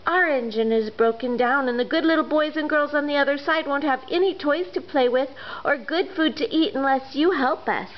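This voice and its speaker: A woman's voice: sits high, moderate pace, muffled recording, pitched above the performer's own voice, lightly acted. A woman voicing begging little toys. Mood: pleading. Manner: begging, earnest. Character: pleading chorus of small dolls and toys.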